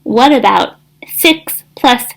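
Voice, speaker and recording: A woman voicing a boastful, competitive little girl duck in a picture book, bragging and exclaiming loudly. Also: a little high, lively intonation, slow, vocal fry, exaggerated voice, rough recording. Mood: showing off.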